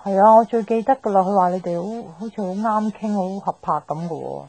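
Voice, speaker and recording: Chatty, husky Hong Kong young woman. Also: medium pitch, lively intonation, fast talker, dark tone, low-quality recording. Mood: nosy, insistent. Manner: bantering, slangy, exclamatory. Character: chatty, teasing young woman, blunt and nosy. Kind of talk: 1990s radio drama acting. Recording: radio drama with music underneath.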